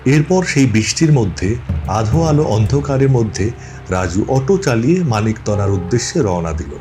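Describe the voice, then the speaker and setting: Male narrator for animated ghost tales, lower register, over a loud music bed. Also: normal speed. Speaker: storyteller narrator. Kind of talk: scripted ghost story narration.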